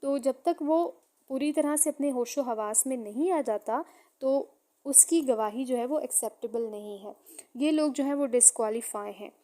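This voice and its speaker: A woman's voice: high-pitched, normal speed, dark tone. A woman with a Pakistani accent giving an explanatory law lecture in a didactic way, reading out points and explaining them, switching between two languages.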